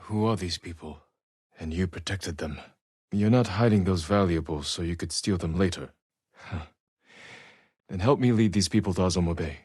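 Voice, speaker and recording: An actor playing an honourable, stoic samurai warrior in a video game, speaking in a measured, solemn way with short, clipped sentences. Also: male voice, low voice, darkish tone, husky, no echo, clean studio sound. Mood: hushed, grave. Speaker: video game lead character. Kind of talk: dramatic video game voice acting.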